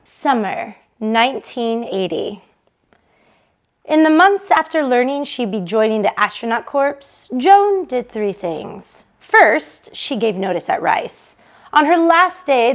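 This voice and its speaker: Higher-pitched woman reading a novel aloud. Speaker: bookshop read-along reader. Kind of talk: expressive audiobook-style reading of a novel.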